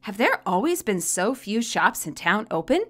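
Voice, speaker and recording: A woman reading her own fan fiction aloud as expressive, scripted story narration. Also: medium pitch, normal speed.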